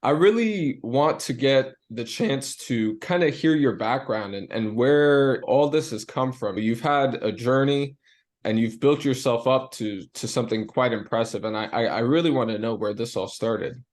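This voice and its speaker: A man's voice: mid-range voice, normal speed, a little mellow. A young man hosting a podcast interview, eager and often stumbling over his words. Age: young adult. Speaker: podcast host. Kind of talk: casual interview.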